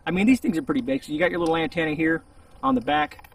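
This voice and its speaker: Gravelly, higher-pitched man rambling casually. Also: very animated, rapid-fire. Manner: rambling, informal, conversational. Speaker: host of a gear review channel. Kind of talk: casual unscripted product review to camera. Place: outdoors in a shaded spot. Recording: distant mic with faint outdoor noise.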